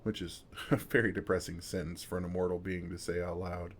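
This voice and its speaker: A man playing a kind, wry older vampire who comforts a fledgling in a soft-spoken, reassuring ASMR roleplay, gently teasing with pauses. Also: low voice, flat delivery. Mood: warm, lightly joking. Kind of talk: intimate ASMR roleplay, second-person comforting.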